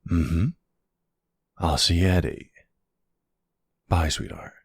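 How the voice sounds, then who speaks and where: Man acting a very deep, gravelly mafia boss. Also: heavily acted. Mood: warm, flirtatious. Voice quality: gravelly, husky low voice.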